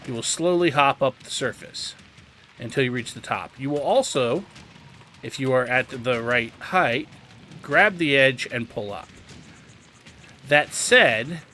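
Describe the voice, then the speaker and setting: A man's voice: mid-range voice, pushed and bright. A man giving tutorial commentary over gameplay in an explanatory, conversational way. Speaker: gaming video host. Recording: faint game noise behind.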